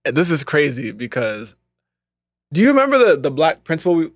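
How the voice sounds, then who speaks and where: Young male podcast co-host, slightly high voice, very dry close sound, lively storyteller. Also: a little fast, no room sound. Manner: animated, acts out quotes in voices. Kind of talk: casual storytelling.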